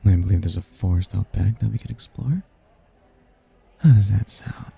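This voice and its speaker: Man acting deep gravelly seductive demon. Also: warm tone, full character voice. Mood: sly, seductive. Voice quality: husky, gravelly. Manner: slow, flirtatious, breathy murmurs with low chuckles. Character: smooth-talking seductive male demon, suave, teasing, devilishly charming. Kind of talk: intimate ASMR roleplay acting.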